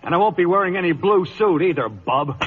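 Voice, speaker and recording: Young second lieutenant, eager, easily flustered, lively pitch, muffled radio drama. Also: male voice, a little fast, music in the background. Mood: defiant. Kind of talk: old-time radio drama acting. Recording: muffled old radio recording.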